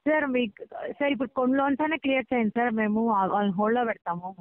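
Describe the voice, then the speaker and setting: A woman working as a loan recovery agent on a phone call, polite and persistent but halting. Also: fairly high pitch, no room sound. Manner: polite, persistent, halting, repeatedly says sir. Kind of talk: debt collection phone call. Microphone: phone line. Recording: thin, band-limited phone call recording.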